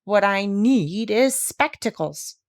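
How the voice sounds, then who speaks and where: A woman's voice: medium pitch, expressive, slow pace, dry recording, clean studio sound. A woman voicing a plain-spoken, aggrieved male plow horse who complains emphatically.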